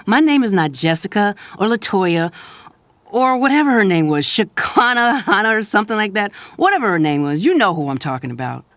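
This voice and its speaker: A woman's voice: mid-range voice, very animated, a little fast, a bit bright, no echo. A woman playing a sassy, loving middle-aged mother in an audio drama, speaking frankly and conversationally. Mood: teasing. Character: sassy, loving mother of grown children, fed-up wife. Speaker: actor.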